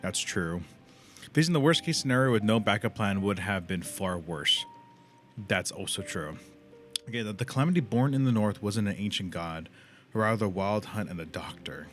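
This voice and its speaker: Low-voiced man streaming a game, slangy. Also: lively intonation, normal speed, fairly bright tone. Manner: crude, slangy, swearing. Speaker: livestreamer playing a video game. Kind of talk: casual live game stream commentary. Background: game music.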